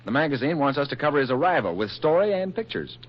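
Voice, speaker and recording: An actor playing a breezy, wisecracking American magazine reporter in a 1940s radio drama, dry and teasing. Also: male voice, fairly high pitch, very animated, quick pace. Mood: brisk, breezy. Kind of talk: 1940s radio comedy-romance acting. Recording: muffled 1940s radio recording.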